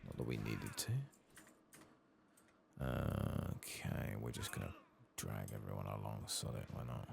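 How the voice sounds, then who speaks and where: Very deep, gravelly soft-spoken British man. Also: fairly even intonation, measured pace, noisy background. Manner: low-key, rambling, musing to himself. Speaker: gaming streamer, ASMR host. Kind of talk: soft-spoken ASMR game commentary, partly whispered.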